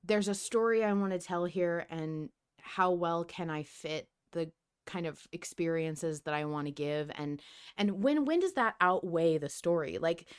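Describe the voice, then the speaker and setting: A woman's voice: fairly low pitch, measured pace, clean tone. A woman hosting a podcast, chatting casually and thinking aloud with a playful, conversational manner. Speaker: podcast host. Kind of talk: casual fan discussion chat.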